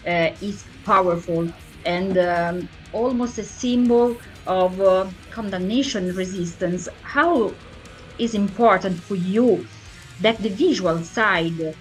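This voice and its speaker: A woman interviewer who is not a native English speaker, asking questions haltingly as she searches for words. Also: medium pitch, faint music behind, rough recording.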